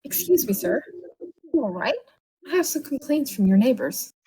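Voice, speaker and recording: Uncanny, polite security officer, voiced by a woman storyteller, pitched up, for a spooky tale. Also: sing-song, moderate pace, mellow, breathy edge, no echo, exaggerated voice, low-quality recording. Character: eerie polite male apartment security officer.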